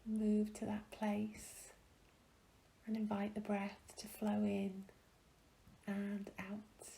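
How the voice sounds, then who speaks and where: Slow, hushed woman guiding a meditation. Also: mid-range voice, monotone, rough recording. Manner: gentle, hushed, breathy, long pauses. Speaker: meditation guide. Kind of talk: guided meditation, soothing and slow.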